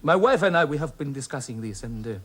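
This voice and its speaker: A man's voice: medium pitch, lively intonation, fast talker, lightly acted. A man playing a genial, evasive middle-aged businessman who talks in a placating, rambling way, tagging "eh?" onto his lines, in a radio drama. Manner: placating, rambling, with frequent "eh?" tags.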